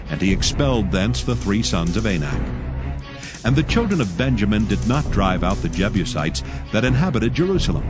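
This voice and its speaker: A man serves as narrator of a dramatized scripture reading. His low voice is solemn yet richly varied in pitch, and music plays clearly underneath.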